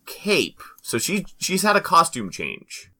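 Male co-host, very bright and forceful mid-range voice, animated, trading wry casual comedic banter. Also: a little high, slow pace. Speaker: podcast co-host.

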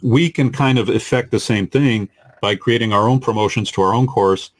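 A man who co-hosts a podcast and teaches online courses, reasoning in a measured, analytical way during a casual panel discussion. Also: lowish voice.

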